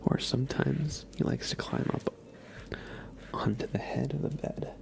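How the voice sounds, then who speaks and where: A man hosting an ASMR livestream, chatting casually and gently to viewers in a soft breathy whisper, close to the microphone. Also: mid-range voice, a little slow. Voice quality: breathy whisper with heavy fry.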